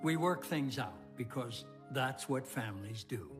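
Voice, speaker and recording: A man playing a kindly, dignified old grandfather in an animated film. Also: mid-range voice, measured pace, natural voice. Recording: loud film music underneath.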